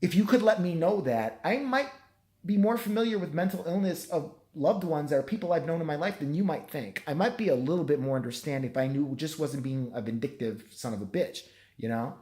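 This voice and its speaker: A tired man with a hoarse, nearly gone voice, rambling and swearing through a self-deprecating late-night vlog. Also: a little high, a little fast, darkish tone. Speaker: vlogger.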